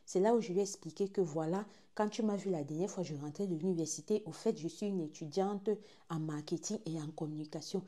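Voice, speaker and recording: Twenties woman, Gabonese French accent, low mellow tone, animated storyteller with hushed asides. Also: brisk. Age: 20s. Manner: animated, swings from hushed asides to loud exclaims, repeats phrases. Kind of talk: dramatic first-person storytelling.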